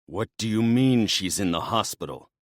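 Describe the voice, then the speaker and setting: A man voicing a grumbling, reluctant male god with a gruff, irritable manner. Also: fairly low pitch, animated, moderate pace, no echo, clean studio sound, pitched above the performer's own voice, lightly acted. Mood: irritated.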